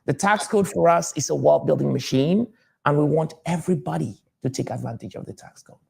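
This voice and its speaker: A man's voice: on the high side, fairly quick, vocal fry, a little mellow, husky. A man from Cameroon, a tax advisor answering interview questions, confident and repeating his key points with some hesitant fillers.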